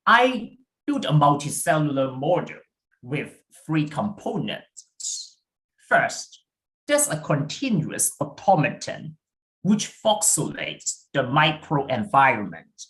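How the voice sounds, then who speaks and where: A British man delivers a prepared research talk at a slow, unhurried pace. His pitch rises and falls a lot as he stresses key points. The measured, deliberate delivery comes through a video-call mic. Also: very animated, fairly bright tone, rough recording. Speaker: invited research speaker.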